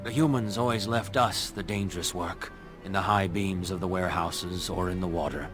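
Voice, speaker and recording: A crippled elf beggar from a fantasy video game, played by a man. His low voice is plaintive and world-weary with a bitter edge, and music plays clearly behind it. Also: moderate pace, natural voice. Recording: music under the voice.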